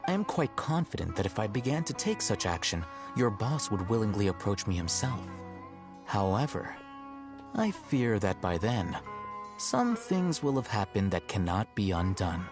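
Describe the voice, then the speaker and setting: Detached scholar who talks down calmly in a low voice. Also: male voice, normal speed. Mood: cold. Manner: calm, precise, coolly condescending. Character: cool, aloof young male scholar. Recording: game audio with faint background music.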